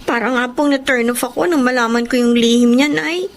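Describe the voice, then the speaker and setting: High-voiced young Filipino working woman, earnest actress. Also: brisk, a little hiss or hum, close to an everyday voice. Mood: troubled. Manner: respectful, earnest. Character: young working woman, respectful, troubled daughter and girlfriend. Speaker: actor in a radio drama. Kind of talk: Filipino radio drama acting.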